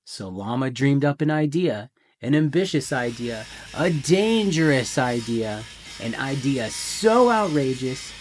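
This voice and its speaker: A man reading a children's picture book aloud in a theatrical way, with deliberate pauses and emphasis. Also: mid-range voice, expressive, soft background music. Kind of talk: dramatic children's storybook narration.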